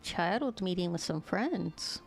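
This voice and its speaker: A woman's voice: fairly low pitch, lively intonation, slow, gravelly, faint music behind. A woman game streamer giving casual, joking and rambling live commentary. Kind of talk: casual chatty livestream commentary.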